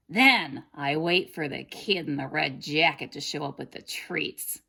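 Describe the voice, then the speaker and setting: A woman plays a sly storybook wolf, a greedy villain with big swoops in pitch. The delivery is wheedling and gloating, with drawn-out, exclamatory phrases. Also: a bit bright, heavily acted. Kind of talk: children's storybook character reading.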